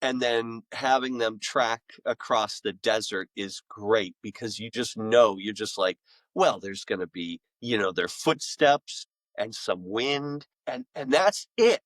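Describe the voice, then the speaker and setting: A man's voice: on the low side, dry recording, low-quality recording. Enthusiastic man telling stories, close dry sound. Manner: enthusiastic, emphatic storyteller with dramatic pauses and repetition. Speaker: author and voice-acting school director, podcast guest. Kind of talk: casual, animated interview chat.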